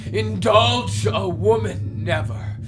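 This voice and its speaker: A man's voice: sits high, takes it slowly. An actor playing a bitter, grieving ghost of a murdered Greek king, declaiming theatrically in a classical audio drama. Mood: urgent, wistful. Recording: music behind the voice.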